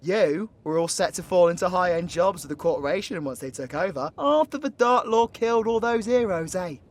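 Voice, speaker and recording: Cocky British male supervillain, played by a man: foul-mouthed, taunting, slangy, highly animated pitch for audio roleplay. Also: fairly quick. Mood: mocking taunts. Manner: sweary, mocking, slangy.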